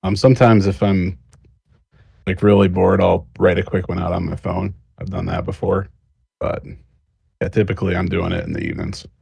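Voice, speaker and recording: A man's voice: low-pitched, level tone, normal speed, creaky, a little mellow, breathy edge, no echo. A man chatting casually as a podcast guest, giving easygoing advice as a script writer.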